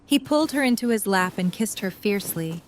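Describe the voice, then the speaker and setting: A female narrator with a medium-pitched voice. She reads scripted prose that links the scenes of a romantic audio drama. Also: animated, a little fast.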